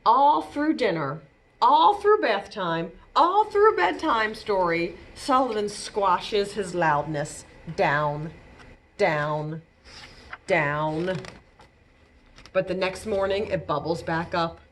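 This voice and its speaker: A woman reading a storybook aloud to young children, deliberate and expressive, leaning on key words. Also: medium pitch, a little hiss or hum. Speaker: children's librarian, storytime reader.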